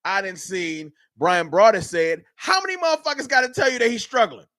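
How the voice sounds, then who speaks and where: A man's voice: high voice, a little fast, dry recording, clean studio sound. A man from Dallas, hosting a live sports fan stream and arguing heatedly with his chat. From Dallas, Texas. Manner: animated, argumentative, heated ranting. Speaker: sports fan livestream host.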